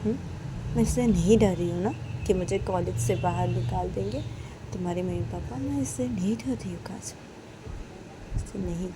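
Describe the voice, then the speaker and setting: A woman voicing an anxious young college woman who pleads and explains herself gently. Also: fairly low pitch, normal speed, background noise, close to an everyday voice. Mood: hurt.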